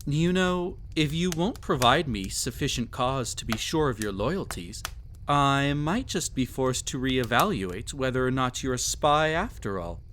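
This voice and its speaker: A man's voice: mid-range voice, lively intonation, unhurried, a bit bright, a little hiss or hum. A man playing a cruel, possessive emperor in an intimate roleplay audio drama, silky and condescending, teasing as he pampers. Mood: menacing, mocking.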